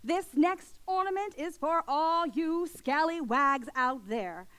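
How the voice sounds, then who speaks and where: A playful, folksy female radio announcer in 1940s style, acted by a woman. She pitches her voice high and takes her time as she promotes. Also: animated, takes it slowly, a bit bright, full character voice. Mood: playful, promotional.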